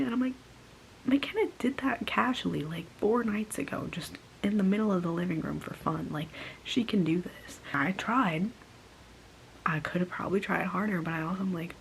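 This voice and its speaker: A woman's voice: medium pitch, vocal fry, a little hiss or hum, poor audio. A young woman telling a casual story in a mostly whispered voice, congested and sore-throated, sounding exasperated. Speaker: vlogger.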